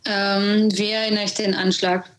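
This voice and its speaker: A woman in her late twenties from Germany, a podcast guest answering slowly with many pauses and fillers. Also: mid-range voice, little pitch movement, low-quality recording. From Munich, Germany. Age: late 20s. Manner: slow, searching, pauses with fillers. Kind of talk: interview answer.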